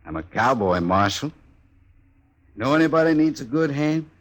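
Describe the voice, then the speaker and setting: An American actor playing a cocky drifting cowboy who needles with false friendliness and folksy talk in a 1950s Western radio drama. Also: male voice, mid-range voice, sing-song, normal speed. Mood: sly, taunting. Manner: taunting, drawling folksy talk.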